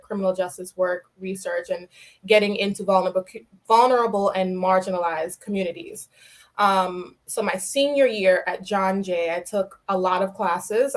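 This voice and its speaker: A young woman from New York City, a podcast guest explaining her work with light laughs. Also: medium pitch. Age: young adult. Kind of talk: podcast interview.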